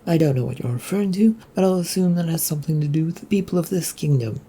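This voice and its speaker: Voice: medium pitch, animated, quick pace, mellow, breathy edge, voice pushed up. A storyteller voicing a composed, matter-of-fact woman teacher with a dry, unruffled manner. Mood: mildly puzzled.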